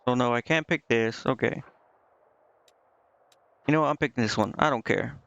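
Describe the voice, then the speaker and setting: A man's voice: medium pitch, normal speed, vocal fry, a bit bright, dry recording, muffled recording. A man doing casual live gameplay commentary, thinking aloud indecisively and reading game text out. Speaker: game streamer. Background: game music.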